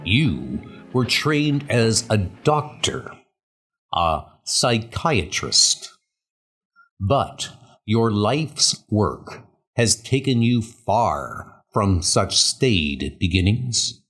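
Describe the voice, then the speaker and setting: A man narrating a comic story in a slow, deliberate, portentous style. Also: lowish voice, very animated, fairly bright tone, breathy edge. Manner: slow, deliberate, portentous second-person delivery. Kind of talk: dramatic scripted comic narration. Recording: music behind the voice. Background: music.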